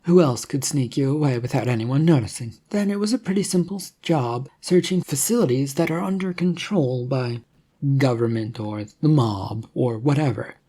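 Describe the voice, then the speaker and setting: A narrator voicing a brisk, cocky, evasive woman rescuer in an adventure audiobook, with a breezy, dismissive manner. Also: mid-range voice, warm tone, slightly rough, natural voice. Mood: breezy, impatient. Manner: breezy, dismissive, self-assured.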